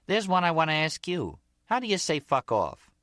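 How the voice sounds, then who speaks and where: Man voicing a sly, brazen gangster's girlfriend, pitched up. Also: huge pitch swings, normal speed, a bit bright, dry recording, clean studio sound. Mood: playful. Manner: teasing, streetwise.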